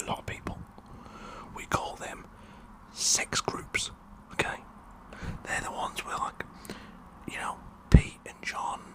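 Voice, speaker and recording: A man with a British accent whispering a rambling, tongue-in-cheek ASMR monologue in a breathy voice, often repeating words for effect. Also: poor audio. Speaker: ASMR performer.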